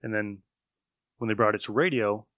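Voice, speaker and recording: A man's voice: on the low side, moderate pace, no echo, highs cut off. A man co-hosting a podcast, talking casually about old radio shows. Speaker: podcast co-host.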